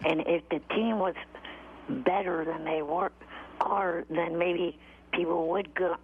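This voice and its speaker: High, creaky man on a tinny phone. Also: steady tone. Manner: defensive, irritable, halting. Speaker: phone-in regular on radio show. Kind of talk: casual, argumentative radio call. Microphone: telephone. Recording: tinny phone line, faint noise.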